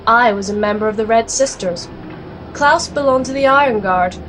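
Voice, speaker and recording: A woman's voice: a little high, normal speed, fairly bright tone, lightly acted, low-quality recording. An actress playing a determined female alchemist warrior, serious and resolute, in a scripted fantasy audio drama. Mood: solemn narration. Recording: music under the voice.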